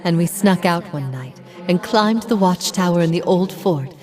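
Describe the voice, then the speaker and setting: Fantasy game role: steadfast heretic prophet , voiced by a woman. Grave, measured, formal, on the low side. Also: animated, darkish tone, faint music behind, lightly acted. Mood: reflective, earnest. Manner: solemn, measured, formal phrasing. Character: grave, steadfast female heretic prophet haunted by past lives. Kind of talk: dramatic fantasy game voice acting.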